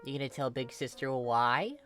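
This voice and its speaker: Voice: mid-range voice, lively intonation, normal speed, over music, lightly acted. A narrator voicing a young woman, a falsely cheery, teasing big sister in a story reading, with a playfully smug, nagging manner. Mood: coaxing.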